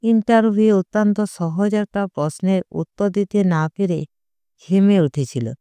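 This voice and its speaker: Slow, mellow storyteller with a clean, smooth voice. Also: mid-range voice, no echo. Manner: measured, literary storytelling. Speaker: audio story narrator. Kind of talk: scripted audio story narration, first person.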